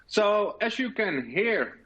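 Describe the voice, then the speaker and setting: Dutch guy presenting over a video call, kinda high voice, muffled audio. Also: big pitch swings, slow pace, fairly bright tone. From Netherlands. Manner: earnest, many filler sounds and restarts. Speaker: conference presenter. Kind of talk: virtual conference talk.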